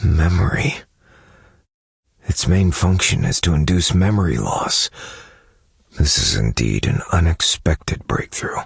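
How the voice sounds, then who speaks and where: An actor playing a brooding, analytical detective-novelist in a game, with a gravelly, husky voice, musing to himself in a measured, contemplative way. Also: male voice, low-pitched, big pitch swings, no room sound, studio quality, exaggerated voice. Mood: grim realization. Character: brooding, analytical male detective-novelist unraveling dark mysteries. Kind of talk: scripted game character monologue.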